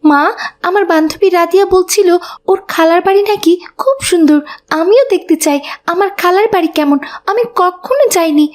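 A very high, childlike cartoon voice of a sweet little schoolgirl. She speaks politely and eagerly, with a pleading innocence. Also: moderate pace, darkish tone. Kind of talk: children's moral cartoon voice acting.

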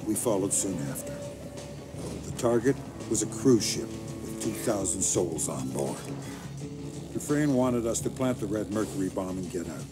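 An actor playing a hard, weary, sardonic middle-aged covert agent in a video game, speaking in a terse, dry way. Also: male voice, fairly low pitch, expressive, normal speed, lightly acted. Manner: terse, dry, matter-of-fact. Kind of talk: video game cutscene acting, terse recounting. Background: loud dramatic music.

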